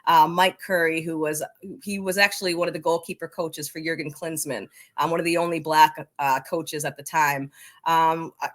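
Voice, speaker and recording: A woman's voice: low-pitched, steady tone, normal speed. A woman answering interview questions as a soccer coaching advocate, in an emphatic, passionate conversational way.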